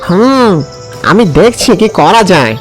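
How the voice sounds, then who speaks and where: A man voicing a concerned, authoritative jungle sorcerer in a cartoon, giving deliberate instructions. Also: high voice, sing-song, faint music behind. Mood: grave, instructing.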